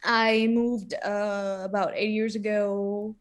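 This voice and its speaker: A woman's voice: medium pitch, level tone, slow. A woman from Greece who is a singer and runs tattoo shops, talking in a shy, hesitant way in a casual chat. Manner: shy, hesitant, laughs often. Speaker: singer and tattoo shop owner.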